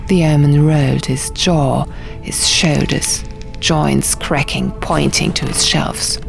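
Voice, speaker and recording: A woman narrating a fantasy audiobook in a hushed, measured, dramatic storytelling style. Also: deep voice, fairly even intonation, gravelly. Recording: music behind the voice.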